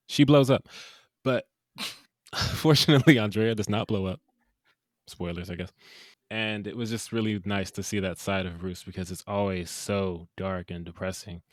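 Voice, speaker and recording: Animated man co-hosting a movie podcast. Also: low-pitched, dry recording, clean studio sound. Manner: animated, jokey, quick asides. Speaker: podcast co-host. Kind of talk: casual movie review chat.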